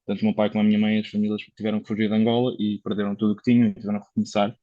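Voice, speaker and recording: A man's voice: on the low side, monotone, racing, no room sound, lo-fi sound. A Portuguese man, a car consultant, talking casually as a podcast guest, explaining things at length with many fillers and restarts. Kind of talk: casual conversational interview.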